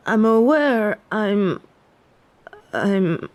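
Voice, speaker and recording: A woman's voice: mid-range voice, lively intonation, very slow, darkish tone. A woman playing a snobby fallen angel who is sick with a head cold, speaking in formal, archaic phrases for an audio drama. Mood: proud, defensive.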